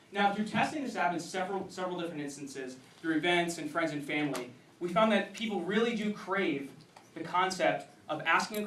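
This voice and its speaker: Echoey man giving a startup pitch. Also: fairly bright tone, slight background noise. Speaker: startup founder pitching an app. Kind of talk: live pitch presentation to an audience. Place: indoor event venue, speaking to a crowd. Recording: roomy echoing event hall, distant.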